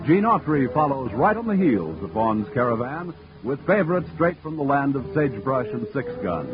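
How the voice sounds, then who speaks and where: Man, 1940s network announcer, formal, lively pitch, lo-fi with music bed. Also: normal speed. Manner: formal booming announcer delivery. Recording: dull old radio recording with music.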